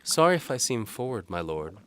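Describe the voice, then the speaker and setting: Man voicing a forward young maid, pitched high. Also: sing-song, fairly quick, fairly bright tone, a little hiss or hum. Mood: earnest.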